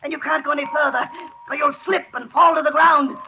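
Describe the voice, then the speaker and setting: An actor playing a crazed, vengeful poisoner in a 1930s radio thriller, gloating and ranting. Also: male voice, very high voice, steady tone, a little fast, vocal fry. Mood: enraged. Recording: scratchy muffled 1930s radio recording.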